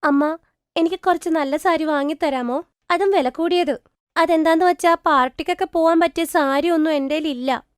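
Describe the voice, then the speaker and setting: A woman voicing a shy, soft-spoken young bride from a poor home. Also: very high-pitched, normal speed, no room sound, studio quality, voice pushed up. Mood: pleading. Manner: gentle, pleading.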